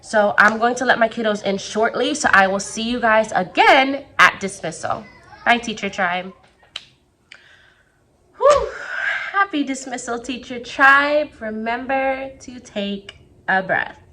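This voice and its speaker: A young woman teacher vlogs from her classroom in a chatty, rambling way, jumping between asides. Her voice is bright and forward, with a slight rasp as it comes back. A faint hiss sits under the recording. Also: a little high, expressive. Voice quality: slightly raspy. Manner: chatty, quick asides, self-interrupting. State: recovering voice. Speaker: second grade teacher. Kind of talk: casual classroom vlog.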